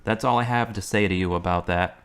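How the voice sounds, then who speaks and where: A man's voice: low voice, fairly quick, a bit bright, smooth. An actor playing an earnest young man recovering from an accident, who talks sincerely and asks many questions. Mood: resolute. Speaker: hospital patient. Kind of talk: amateur web drama acting.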